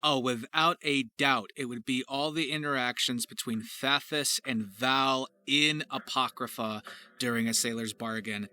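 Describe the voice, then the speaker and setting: A man's voice: mid-range voice, pushed and bright. A man talking casually as a voice actor and writer about his creative work, in an effusive, emphatic and wordy way. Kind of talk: casual question-and-answer behind-the-scenes commentary.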